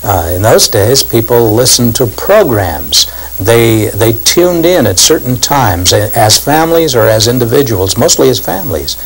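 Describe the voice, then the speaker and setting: An older man, a former radio actor, giving deliberate, explanatory reminiscences as documentary commentary. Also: mid-range voice, big pitch swings, rough recording.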